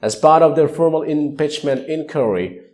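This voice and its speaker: A man's voice: a little high, moderate pace, a little mellow. A man reading scripted news narration as a news reader.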